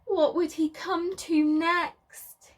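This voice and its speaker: A woman's voice: very high-pitched, steady tone, extremely slow. A woman preschool teacher reading a story aloud, speaking expressively in simple phrases to small children.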